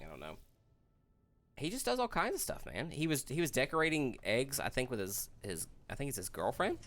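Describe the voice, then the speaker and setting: A man in a casual group chat, explaining a story haltingly with self-corrections. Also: on the low side, a bit bright, slight background noise. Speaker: podcast host.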